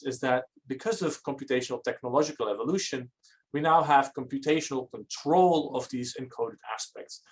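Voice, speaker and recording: A man from Belgium, giving an academic architecture lecture in a measured, explanatory manner. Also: mid-range voice.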